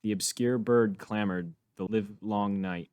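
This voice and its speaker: A man playing an uneasy, courteous young nobleman, reciting Shakespeare in a measured, solemn way. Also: lowish voice, steady tone, darkish tone, clean tone, dry recording, close to an everyday voice. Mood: uneasy, ominous. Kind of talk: dramatic reading of Shakespeare.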